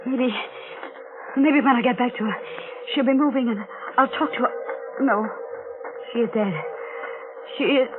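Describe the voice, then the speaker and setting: An actress in a 1940s radio horror play, playing a desperate, guilt-ridden woman killer with a halting, melodramatic delivery. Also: fairly high pitch, natural voice. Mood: panicked. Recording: tinny old radio recording, music behind.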